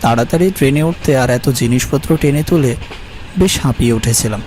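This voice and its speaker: Man as a mellow, uneasy traveller telling ghost story. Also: medium pitch, a little fast, slight background noise, natural voice. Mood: grateful, conversational. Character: uneasy, polite male traveller narrating his eerie night journey. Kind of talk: first-person scripted ghost story narration with dialogue. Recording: faint music behind the voice.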